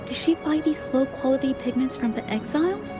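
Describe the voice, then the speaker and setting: A woman playing a polite, thoughtful young female investigator in a video game, speaking in a measured, gentle, analytical way. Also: high voice, expressive. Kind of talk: video game story voice acting. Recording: music under the voice.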